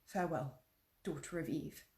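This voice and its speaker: A woman voicing a timid, gentle male faun who speaks softly and anxiously. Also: low-pitched, normal speed, natural voice.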